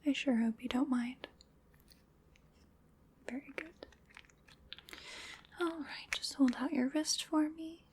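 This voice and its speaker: A woman voicing a playful, chatty female vampire in a whispered ASMR roleplay, breathy, soothing and wryly polite as she dotes on her prey. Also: high voice, slow pace, long pauses, darkish tone, a little hiss or hum.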